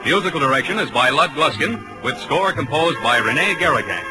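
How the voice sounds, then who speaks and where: Male American announcer, vintage radio. Highish animated voice, polished sales delivery over music. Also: moderate pace, poor audio. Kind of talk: 1940s commercial announcing and credits.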